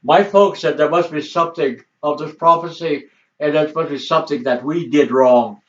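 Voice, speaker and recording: A man's voice: fairly high pitch, normal speed, fairly bright tone. An older man whose first language is Assiniboine, telling family history in a rambling, casual way and chuckling often. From Montana, US. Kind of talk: casual storytelling. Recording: dull distant home recording.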